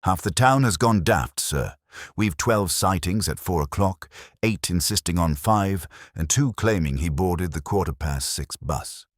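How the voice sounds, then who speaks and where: A man's voice: low voice, animated, measured pace, no room sound, clean studio sound. A man voicing an earnest, plain-spoken police sergeant who defers to his superior in a detective story. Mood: exasperated.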